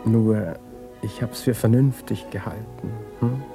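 An actor playing an evasive musician and smooth seducer in a radio play, casual and hesitant with his lover. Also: fairly low pitch, fairly even intonation, measured pace. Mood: evasive, hushed. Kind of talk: German radio play acting. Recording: radio drama with music underneath.

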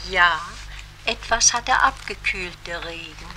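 A woman's voice: on the low side, unhurried, background noise, lightly acted. An actress playing a mild townswoman making small talk in a radio drama. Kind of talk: theatrical radio drama acting.

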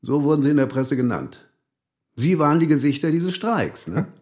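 An actor playing a methodical male police interviewer in a radio drama, deliberate, checking each fact. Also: fairly high pitch, sing-song, darkish tone. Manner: deliberate, checking with tag questions.

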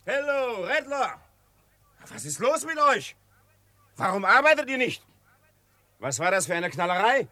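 An actor playing a blustering, irritable crew boss in a German Western radio drama, barking in a rough, agitated way. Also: male voice, high voice, very animated, a little slow, long pauses, sharp tone, no echo, full character voice. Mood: irritated, demanding. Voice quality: rough, strained.

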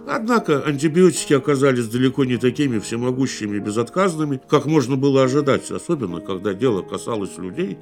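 A male actor narrating a literary radio play with an expressive storytelling delivery. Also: medium pitch, close to an everyday voice. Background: piano music.

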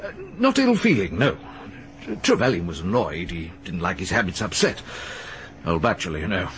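A gruff retired army major, terse and clipped in his answers, with a voice that swings lively up and down. He comes from an old radio play. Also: deep voice, normal speed. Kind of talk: radio drama acting.

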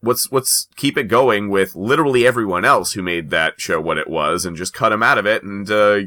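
A man's voice: fairly low pitch, normal speed, pushed and bright. A man hosting a casual pop culture news chat, speaking in an emphatic, informal, sometimes profane way. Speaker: podcast host.

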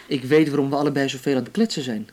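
An actor playing an easygoing young male activist in a naturalistic radio drama, calmly persuasive. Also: mid-range voice, very fast, close to an everyday voice. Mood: reflective.

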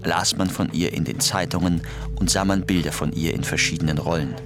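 Low male voice reading a scripted documentary narration, music playing under it. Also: steady tone, fairly quick.

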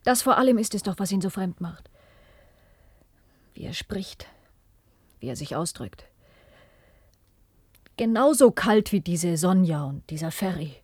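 A woman's voice: on the low side, animated, measured pace, long pauses, warm tone, husky, no echo. An actress playing an anguished young daughter in a radio play, intense and pained as she pleads. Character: anguished young daughter defending her interned father.

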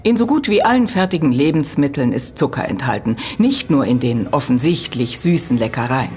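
A woman's voice: rumbling low, lively intonation, fairly quick, a little mellow, breathy edge, faint music behind, heavily acted. A woman playing a middle-aged professor in an audio drama, lecturing precisely and patiently correcting others with firm emphasis. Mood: instructive. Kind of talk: German audio drama dialogue.

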